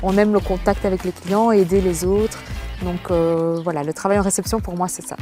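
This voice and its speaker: A woman's voice: lowish voice, steady tone, a little fast, dark tone, faint music behind. A woman, a hotel receptionist giving a hesitant testimonial with many fillers. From Belgium. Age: 30s. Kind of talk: promotional testimonial.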